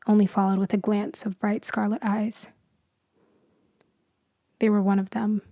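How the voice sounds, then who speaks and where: Woman acting a slow, hushed, melancholy female vampire. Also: mid-range voice, gravelly, no echo, studio quality. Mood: haunted, sorrowful. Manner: soft, hushed, drifting into whispers. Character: melancholy immortal female vampire, lonely, tender, haunted by loss. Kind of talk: slow storytelling ASMR roleplay.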